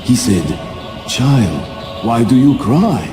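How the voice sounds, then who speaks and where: An actor voicing an elderly rat sensei, a calm, wise father figure speaking in a measured, formal way for a game cutscene. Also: mid-range voice, over loud music. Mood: solemn, tender. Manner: measured, solemn, formal storytelling. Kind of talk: video game cutscene acting.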